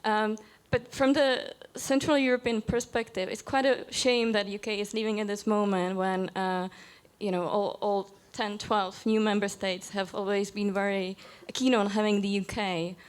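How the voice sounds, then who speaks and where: A woman's voice: mid-range voice, steady tone, normal speed, clear, resonant tone. Young woman asking a question. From Czech Republic. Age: young adult. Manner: hesitant, with many fillers. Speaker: audience member asking a question. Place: conference hall.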